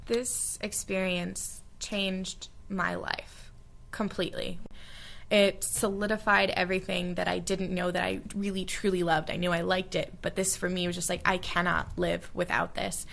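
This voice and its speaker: Earnest young American woman with vocal fry. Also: fairly low pitch, little pitch movement, a little slow, a bit bright. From United States. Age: young adult. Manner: earnest and heartfelt, with frequent fillers. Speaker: study abroad alumna. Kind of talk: reflective interview answers.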